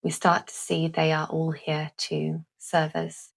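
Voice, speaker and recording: A woman leading a calming guided visualisation in a gentle, deliberate manner with long pauses. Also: sits low, creaky. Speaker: guided meditation host.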